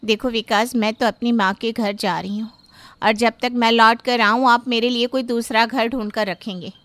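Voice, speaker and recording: A woman's voice: fairly high pitch, steady tone, moderate pace, fairly bright tone, dry recording, close to an everyday voice. A woman voicing an earnest young mother who stands up firmly for her daughter. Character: young mother, hurt but determined wife.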